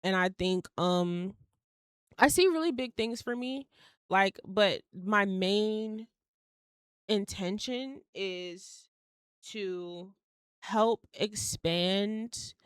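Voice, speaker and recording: A woman's voice: mid-range voice, animated, extremely slow, clear, resonant tone, dry recording, clean studio sound. A young woman in her twenties hosting a solo podcast, rambling casually with many fillers, occasional swearing and laughs mid-sentence. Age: young adult. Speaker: podcast host.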